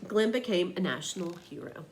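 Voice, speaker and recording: A woman's voice: on the low side, animated, fairly quick, low-quality recording. Woman reading aloud, slight background noise. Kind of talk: children's picture book read-aloud.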